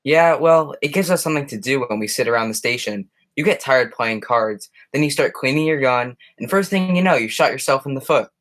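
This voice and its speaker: A man's voice: medium pitch, lively intonation, brisk, few pauses, fairly bright tone, close to an everyday voice. Young man as a folksy, easygoing neighborhood cop. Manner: folksy, casual, polite. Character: friendly, plain-spoken neighborhood policeman, easygoing. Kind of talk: high school radio play acting.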